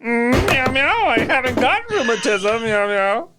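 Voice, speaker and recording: Woman as a stammering, meowing young gentleman cat. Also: a little high, big pitch swings, unhurried, over loud music. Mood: awkward, polite. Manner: hesitant, stammering, punctuated with meows. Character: awkward, polite young gentleman who keeps meowing like a cat.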